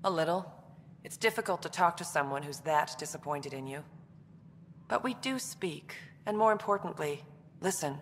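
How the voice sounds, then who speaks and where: An actress playing a centuries-old alien commando in a video game, brooding, resolute and controlled. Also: female voice, low-pitched, animated, normal speed. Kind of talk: dramatic video game acting. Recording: long reverb, faint background noise.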